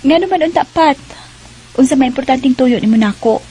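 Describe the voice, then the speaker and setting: Young Bisaya working woman, very high voice, expressive radio-drama heroine. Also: brisk, husky, poor audio. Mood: shy, hesitant. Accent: Bisaya Filipino. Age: young adult. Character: young restaurant cashier wife, friendly but increasingly exasperated.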